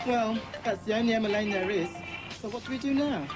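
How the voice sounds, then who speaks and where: A woman's voice: fairly high pitch, a little fast, music in the background, close to an everyday voice, poor audio. A woman voicing an earnest, well-spoken British schoolboy in a children's audiobook drama. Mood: puzzled. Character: Peter, earnest schoolboy leader of a children's detective club.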